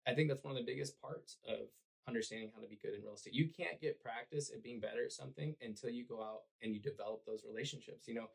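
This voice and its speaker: A man whose voice is hoarse and raspy, with a gravelly edge that drags at the ends of phrases. He speaks at a middle pitch in an earnest, relaxed podcast-chat way. He leans on fillers as he explains. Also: quick pace, few pauses, poor audio. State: hoarse from cheering at a game. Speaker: realtor and podcast guest. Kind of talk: conversational podcast interview.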